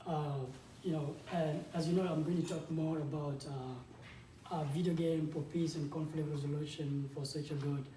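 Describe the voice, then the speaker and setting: A man in his twenties with a South Sudanese accent gives an informal talk in a halting, conversational way, often repeating words. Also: a little high, steady tone. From South Sudanese, grew up in a refugee camp in Uganda. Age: 20s. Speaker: game developer and startup founder, guest speaker. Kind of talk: informal conference presentation. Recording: echoey room, distant mic, background noise.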